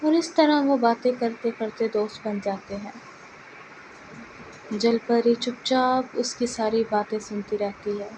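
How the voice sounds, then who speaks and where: Woman storyteller, high voice, slow scripted children's narration, clear background noise.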